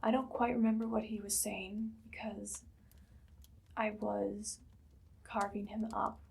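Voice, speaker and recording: A woman playing an imperious, idly cruel evil queen in an ASMR roleplay, soft-spoken and often whispering in a languid, condescending way. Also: mid-range voice, little pitch movement, unhurried, creaky, a little hiss or hum. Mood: menacing amusement. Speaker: ASMR performer.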